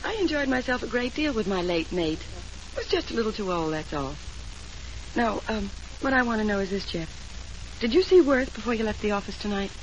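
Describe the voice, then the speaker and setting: An actress playing a scheming young widow, self-assured, teasing and cold, in 1940s radio melodrama style. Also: female voice, mid-range voice, expressive, a little fast, lightly acted. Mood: breezy, coy. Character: cool, scheming young widow, coquettish and menacing. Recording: noisy old radio transcription.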